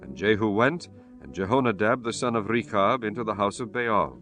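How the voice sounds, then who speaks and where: Deep-ish guy reading bible audiobook, formal and measured, soft music behind him. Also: animated. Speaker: audiobook narrator. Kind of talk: solemn scripted scripture narration.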